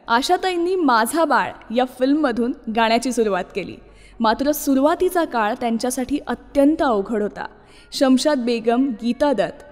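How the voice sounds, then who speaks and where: A woman's voice: sits high, a little mellow. A woman presenting a radio show in a scripted narrating style. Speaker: radio host. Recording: long reverb, music behind. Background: music.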